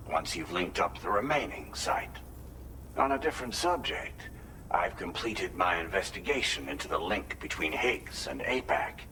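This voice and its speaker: A man playing a formal, deliberate mission adviser in a sci-fi game, explaining findings in measured briefing dialogue. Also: fairly low pitch, bright, forceful tone, a little hiss or hum, heavily acted, poor audio.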